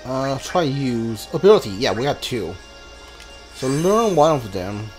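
A man's voice: medium pitch, very animated, measured pace, darkish tone. A man giving casual gameplay commentary as a streamer, hesitant and thinking aloud as he goes. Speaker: video game streamer. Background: video game music.

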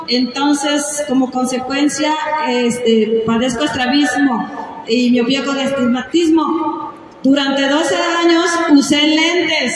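A woman from Puebla giving an earnest testimonial to an audience. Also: high-pitched. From Puebla, Mexico. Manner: earnest, emphatic. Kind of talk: public testimonial. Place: large hall. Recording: echoey hall from far off, noise.

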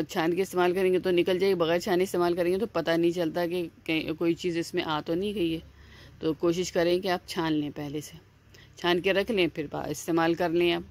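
A woman's voice: fairly low pitch, darkish tone. A woman hosting a home cooking video, explaining each step in a chatty, repetitive way with frequent fillers and restarts. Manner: chatty, repeats herself, many "aa" fillers and restarts. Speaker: cooking channel host. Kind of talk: casual step-by-step cooking instructions.

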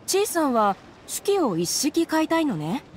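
A woman's voice: high-pitched, very animated, normal speed, darkish tone, a little hiss or hum. A woman playing a courteous, obliging female antique merchant in a video game, speaking politely and reassuringly. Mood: businesslike, friendly. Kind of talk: Japanese video game voice acting. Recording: game music behind the voice.

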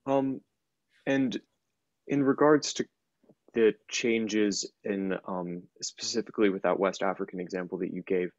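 Young man, lowish voice, dull call audio. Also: steady tone, measured pace, no room sound. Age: 20s. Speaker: interviewer. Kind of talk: careful interview questions. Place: home room indoors. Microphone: computer mic on video call.

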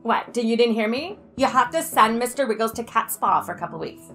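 A woman performs a middle-aged, oblivious best friend in a soap comedy. Her voice is bright and fairly high, rambling and breezily insistent, with light music behind it. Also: lively intonation, fairly quick. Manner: rambling, breezily insistent, valley-style filler talk. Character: pushy, self-absorbed, oblivious best friend. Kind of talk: improvised soap-opera comedy over a video call.

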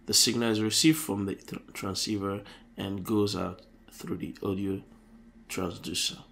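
A man hosting an electronics tutorial, explaining circuit diagrams on paper in a halting, self-correcting way. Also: lowish voice, steady tone, vocal fry, fairly bright tone, slight background noise.

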